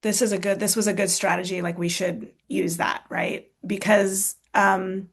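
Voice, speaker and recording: A woman's voice: medium pitch, flat delivery, moderate pace, creaky. An American-born woman, author and speaker, answering questions in a casual talk, thoughtful, with many fillers, restarts and tag questions. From United States.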